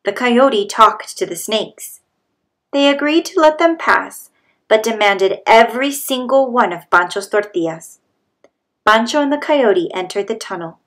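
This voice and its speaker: A woman's voice: mid-range voice, animated, unhurried, a bit bright. A woman reading a children's story aloud as a storybook narrator. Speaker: storybook reader.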